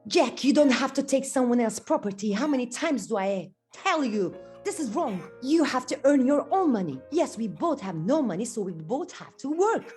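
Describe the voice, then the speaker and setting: A stern mother from a storybook, lecturing her son firmly, as a woman puts her on. The voice sits slightly high, with faint music behind. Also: lively intonation, moderate pace, few pauses, a little mellow, lightly acted. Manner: firm, lecturing.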